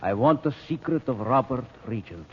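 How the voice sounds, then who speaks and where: A man's voice: medium pitch, expressive, normal speed, natural voice. A cruel, power-mad scientist acted by a man declaims grandly in an old radio serial. The sound is muffled, with some background noise. He is menacing and commanding. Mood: cold, commanding.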